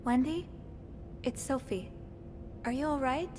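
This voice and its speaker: An actress playing a young hotel maid turned amateur sleuth, speaking gently and hesitantly, stammering now and then. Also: female voice, sits high, unhurried, a little hiss or hum.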